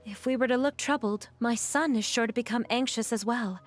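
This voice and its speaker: A woman playing a gentle, resolute but sorrowful mother in a video game, speaking in a measured, earnest way. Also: on the high side, natural voice. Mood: reassuring.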